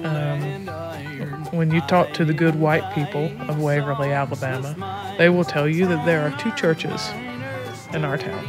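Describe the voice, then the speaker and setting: A man speaks in candid, casual conversation. His voice is clearly high for a man, with music playing behind it. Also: fairly even intonation, a little slow.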